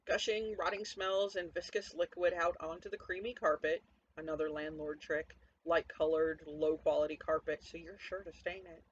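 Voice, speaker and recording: Story narrator, mid-pitched voice, wry and conversational first-person delivery, dull recording with faint noise. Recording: dull lo-fi sound, background noise.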